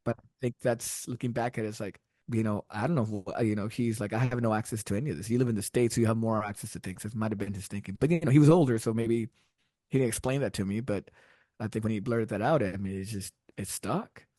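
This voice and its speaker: A man's voice: lowish voice, fast, mellow, no room sound, clean studio sound. A middle-aged man from Texas whose first language is Spanish, telling stories as a podcast guest, rambling and laughing often. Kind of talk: casual interview storytelling.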